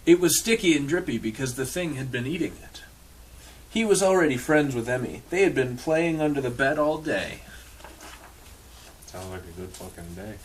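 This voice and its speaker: A man's voice: medium pitch, expressive, moderate pace, breathy edge, rough recording. Man reading a storybook, dry and irreverent. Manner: dry, irreverent, swears in asides. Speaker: storyteller reading a picture book aloud to an audience. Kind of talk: storybook read-aloud with casual asides.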